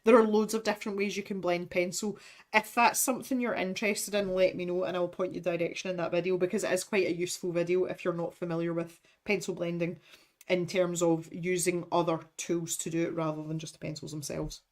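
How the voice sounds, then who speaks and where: A woman's voice: fairly low pitch, little pitch movement, fairly quick. A woman in her thirties, chatting casually on a livestream, rambling and laughing as she reads out product blurbs. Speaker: art supplies streamer. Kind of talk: casual unboxing livestream chat.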